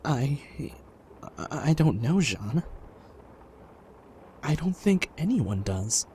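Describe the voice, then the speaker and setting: A man acting a patient, soft-hearted young survivor in an apocalypse audio drama, a hesitant, pleading peacemaker. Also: medium pitch, sing-song, slow, slight background noise. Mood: subdued, kind.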